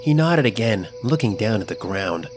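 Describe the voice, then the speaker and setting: Male storyteller for a kids' spooky tale: lively, swooping intonation, dramatic delivery, music underneath. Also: on the low side, moderate pace. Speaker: story narrator. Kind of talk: scripted first-person children's story narration.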